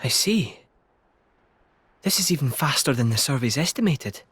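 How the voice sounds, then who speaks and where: A man's voice: medium pitch, animated, moderate pace, fairly bright tone, breathy edge. A man playing a grave, thoughtful, worried monarch in a video game, speaking formally and with measure. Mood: somber. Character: grave, thoughtful male monarch burdened with worry. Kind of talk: dubbed video game cutscene acting.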